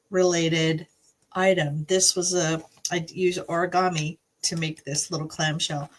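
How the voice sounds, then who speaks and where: Woman with a cold, slow and chatty. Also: on the low side. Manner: friendly, chatty, with frequent coughs and throat-clearing. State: recovering from a cold, voice a little off. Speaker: card-making channel host. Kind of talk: casual craft tutorial.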